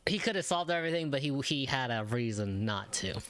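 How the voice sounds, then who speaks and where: Very low-voiced woman, wry game commentary. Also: lively intonation, brisk, music in the background. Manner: wry, joking. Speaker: gamer reacting to a story quest. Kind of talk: casual playthrough commentary. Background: game audio.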